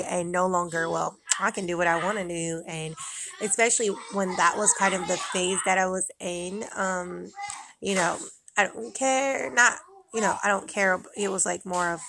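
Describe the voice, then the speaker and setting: A young woman speaks in a raspy, fairly low voice with a gravelly fry. She talks candidly and loosely, drifting with fillers. The recording is noisy, with children playing loudly behind her at home. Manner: rambling, candid, with fillers and laughs. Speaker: mother vlogging about postpartum. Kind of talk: casual personal talk.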